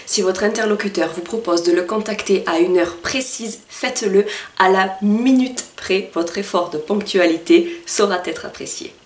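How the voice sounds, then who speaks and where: A woman hosting a career advice column, reading a scripted text in a formal, carefully worded manner and addressing listeners directly. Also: mid-range voice. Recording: roomy echo, distant from microphone.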